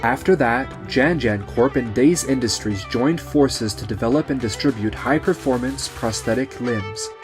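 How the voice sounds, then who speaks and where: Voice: medium pitch, moderate pace, few pauses, over music. A narrator reading scripted story narration for a manga dub.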